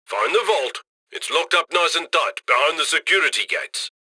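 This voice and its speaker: A man's voice: on the high side, animated, moderate pace, bright, forceful tone, breathy edge, dry recording, full character voice. A man playing a cheerful, cheeky heist handler who chummily guides a robbery crew in a video game. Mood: brisk, scheming. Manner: chummy, cheeky, colloquial, gleeful about loot. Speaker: mission handler. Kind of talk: video game mission voice lines.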